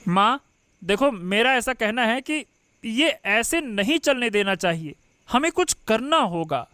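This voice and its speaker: A man's voice: extremely high pitch, expressive, a little slow, pushed and bright, clear, resonant tone, heavily acted. A man playing an arrogant, sneering grown son who plots in a cartoon family drama. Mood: plotting. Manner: sneering, contemptuous. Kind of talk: Hindi cartoon moral-story dubbing.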